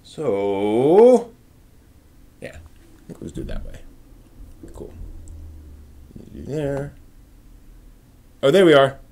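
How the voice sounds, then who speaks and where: A man's voice: medium pitch, sing-song, slow pace, long pauses, warm tone. Man casually rambling on a live stream. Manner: informal, rambling, thinking aloud.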